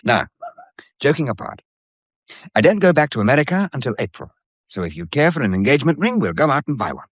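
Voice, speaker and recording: An actor playing a breezy, teasing bachelor suitor in a period mystery drama, with flippant, nonchalant banter. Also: male voice, medium pitch, sing-song, fast, pauses often, husky, dry recording, highs cut off. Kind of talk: period radio drama acting.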